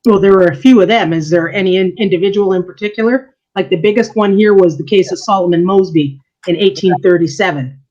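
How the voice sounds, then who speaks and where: A woman's voice: medium pitch, brisk, hardly any pauses, a little mellow. A woman historian answers interview questions in an earnest, explaining way, breaking into easy laughter. Her voice sits in the middle range. The recording sounds dull and lo-fi. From Canada. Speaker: historian and church archivist, interview guest.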